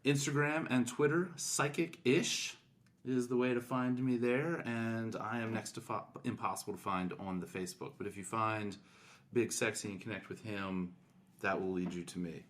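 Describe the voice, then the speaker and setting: A middle-aged man with a fairly low voice co-hosts a podcast. His delivery is casual and agreeable, with a reassuring way of putting things. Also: steady tone, moderate pace. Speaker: podcast co-host. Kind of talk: casual chat. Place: indoors, in person with co-hosts.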